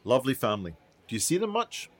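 An actor playing a brisk male documentary maker who puts questions to people in a radio play. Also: mid-range voice, sing-song, a bit bright. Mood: inquisitive. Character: self-proclaimed master documentary maker, brisk male interviewer. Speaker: documentary maker interviewing migrants.

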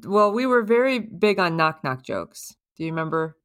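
A middle-aged woman co-hosting a casual chat with her grown daughter, modest and gently wry. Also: lowish voice, big pitch swings, moderate pace. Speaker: co-host, mother.

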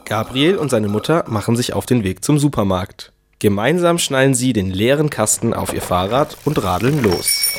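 A man's voice: mid-range voice, animated, moderate pace, noisy background. A man narrating a scripted children's story as the storyteller of a radio play.

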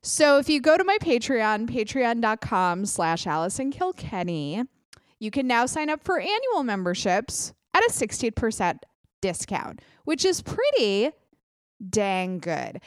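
A young adult woman hosting her own podcast, chatting casually with quick self-deprecating asides, sounding a little congested. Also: on the high side, very animated, no echo, studio quality. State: congested, clogged ear. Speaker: podcast host. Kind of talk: casual solo podcast chat.

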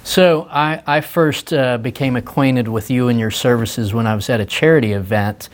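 A man's voice: medium pitch, normal speed. A man hosting a casual interview, easygoing, laughing often and asking follow-up questions. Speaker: interview host.

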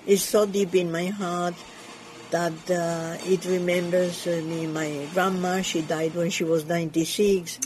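Older woman with a Cuban Spanish accent, Yiddish as her first language; halting, dark-toned interview answers. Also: on the low side, little pitch movement, slight background noise, low-quality recording. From Cuba. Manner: hesitant, searching for English words. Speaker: community leader. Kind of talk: interview conversation.

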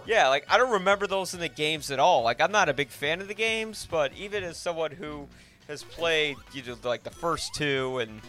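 A man's voice: a little high, expressive, moderate pace, bright, forceful tone, faint music behind. A man hosting a podcast, talking casually about films in a rambling, opinionated, informal way. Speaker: podcast host. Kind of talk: casual film discussion.

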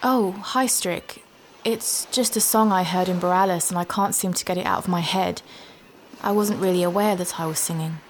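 A woman's voice: on the low side, moderate pace, close to an everyday voice. A woman playing a thoughtful young novice adventurer, natural and conversational, in a fantasy audio drama. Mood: light, friendly. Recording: noticeable ambient background noise.

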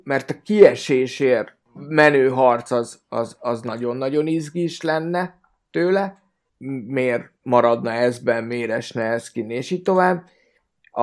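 A man in a casual podcast discussion, speaking in a hesitant, halting way and often repeating words. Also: medium pitch. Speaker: football fan podcast guest.

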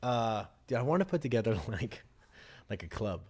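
A man's voice: medium pitch, very animated, moderate pace, a little mellow, husky. Laid-back man rambling in casual chat. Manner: loose, rambling, swears casually, jokey asides. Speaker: podcast co-host.

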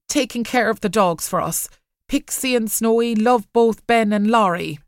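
A woman's voice: fairly high pitch, normal speed, no echo, studio quality, voice pushed up, close to an everyday voice. A woman reading a storybook, voicing an anxious, timid spinster sister who frets and pleads. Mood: muttering, dutiful. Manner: worried, fretting.

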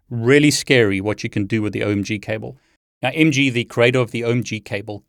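Male tech instructor, slightly low voice, very dry close recording, explanatory step-by-step demo delivery. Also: dry recording. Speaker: tech video host and instructor. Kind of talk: hands-on demonstration talk.

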